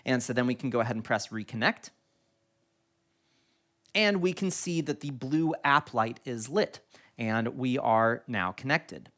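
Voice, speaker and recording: Man, tutorial host, very varied intonation, mid-pitched voice, instructional, step-by-step explaining. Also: expressive, measured pace, pauses often, fairly bright tone.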